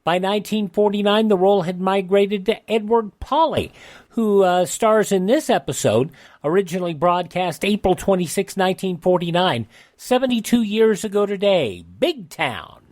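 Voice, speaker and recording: A woman's voice: medium pitch, expressive, normal speed, a little mellow. A woman with an American accent hosting a radio show and reading ads conversationally.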